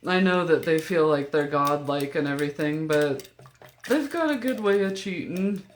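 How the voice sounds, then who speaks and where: An older woman with a deep voice, venting to her camera in a blunt, profane, rambling vlog. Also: expressive, normal speed, a little hiss or hum. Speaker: vlogger. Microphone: camera mic.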